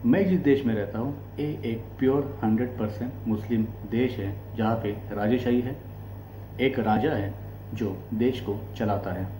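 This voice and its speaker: A man from India with an Indian accent, giving explanatory commentary as a host in deliberate, short broken phrases. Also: mid-range voice, dark tone. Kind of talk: explanatory political commentary to camera. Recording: echoey room, faint background noise and hum.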